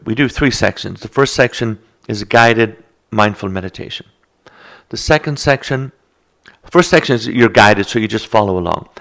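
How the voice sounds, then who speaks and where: An Irish man who teaches meditation, explaining mindfulness in a calm, gently instructive conversational way. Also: mid-range voice. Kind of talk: reflective conversation.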